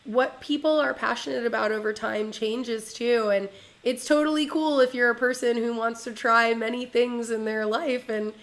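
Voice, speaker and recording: A woman's voice: a little high, steady tone, moderate pace. A young woman hosting a casual interview, effusive and enthusiastic, laughing often. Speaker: host.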